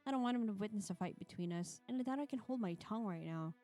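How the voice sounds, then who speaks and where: A woman's voice: mid-range voice, lively intonation, fast, mellow, soft background music. A woman streamer reading a visual novel aloud, with playful comments and frequent laughs. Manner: playful, laughs between narrated lines.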